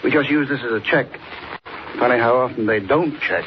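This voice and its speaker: A man plays a harried airfield boss in an old radio serial. He is brisk and conversational, middle-pitched, on a dull, noisy recording. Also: close to an everyday voice. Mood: wry, concerned. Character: harried male airfield manager, affable and brisk. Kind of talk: 1940s radio acting.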